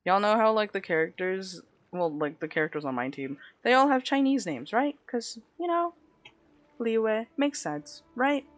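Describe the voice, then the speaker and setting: A woman's voice: medium pitch, very animated, normal speed, dry recording. A woman with a slight lisp, streaming a game and chatting playfully with her viewers in a casual way. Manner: chatty, playful, talks to chat. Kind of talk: casual gameplay commentary. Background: faint game music.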